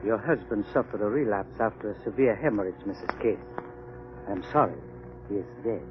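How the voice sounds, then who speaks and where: An actor playing a coolly sympathetic sanitarium doctor with measured, formal delivery in a 1930s radio drama. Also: male voice, on the low side, lightly acted. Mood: cool regret. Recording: tinny, band-limited 1930s radio recording.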